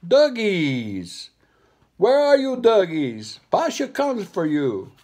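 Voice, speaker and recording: A man's voice: high-pitched, wildly swooping pitch, slow pace, darkish tone, voice pushed up, exaggerated voice. A man voicing a sly, bossy male monkey who speaks broken English and refers to himself by name. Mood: gleeful.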